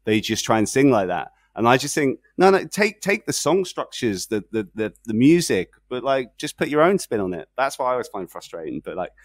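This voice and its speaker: A man's voice: mid-range voice, fairly quick, hardly any pauses, dry recording, studio quality. A man hosting a podcast, talking casually and rambling into tangents. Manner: relaxed, rambling, self-aware about tangents. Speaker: podcast host.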